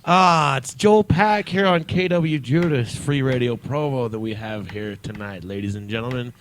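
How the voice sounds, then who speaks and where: A man's voice: mid-range voice, normal speed, slight background noise. A man hosting a casual, unscripted radio talk show.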